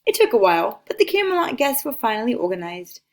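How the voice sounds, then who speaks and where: A woman reading a children's picture book aloud as an expressive storyteller. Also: a little high, fast talker.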